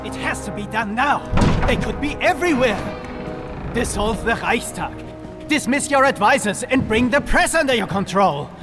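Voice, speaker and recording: An actor playing a hot-headed, zealous princely officer in a video game, with a fervent, insistent delivery. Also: male voice, extremely high pitch, animated, moderate pace, music in the background. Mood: urgent, scornful.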